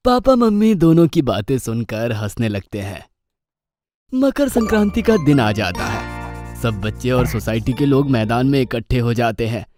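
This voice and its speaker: Man narrating a children's story, animated intonation. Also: mid-range voice, normal speed, soft background music.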